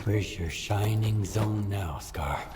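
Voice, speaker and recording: An actor playing a stoic lone hero in a video game, with a laconic, understated delivery. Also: male voice, lowish voice, takes it slowly, over loud music. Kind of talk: video game cutscene dialogue.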